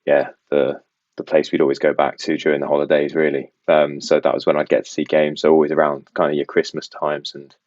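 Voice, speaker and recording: A man's voice: very deep voice, completely flat delivery, brisk, darkish tone, no echo, dull audio. A young man who grew up in Belgium, with an international English accent carrying faint West Midlands traces, chatting casually and self-deprecatingly as a podcast guest.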